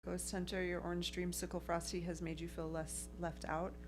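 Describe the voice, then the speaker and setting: A woman's voice: fairly low pitch, level tone, normal speed, a little hiss or hum. A woman in her thirties co-hosting a hobby livestream, chatting casually, reading viewer comments and laughing often. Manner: chatty, laughs often, picky about writing. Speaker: livestream co-host.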